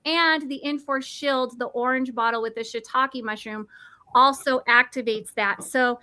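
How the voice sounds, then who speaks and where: Woman, forties, bright tense voice, dry close sound, eager explainer. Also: sits high, steady tone, a little slow, no echo. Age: 40s. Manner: eager, nerdy, self-correcting. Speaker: product presenter. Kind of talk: enthusiastic explanatory talk.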